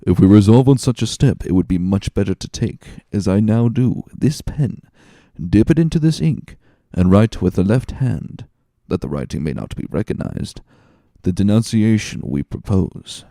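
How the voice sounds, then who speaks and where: A man's voice: on the low side, very animated, moderate pace, warm tone, dry recording, studio quality. Man playing a sly, calculating villain, swooping delivery. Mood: scheming. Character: scheming, smooth, calculating male villain.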